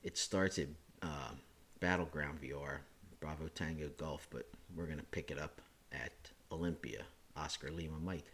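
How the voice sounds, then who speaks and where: Hoarse low-voiced man walking through a flight sim. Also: normal speed, a little hiss or hum. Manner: relaxed, thinking aloud with frequent uh and self-corrections. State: hoarse from a chest cold. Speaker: flight sim hobbyist host. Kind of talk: screen-recorded how-to walkthrough.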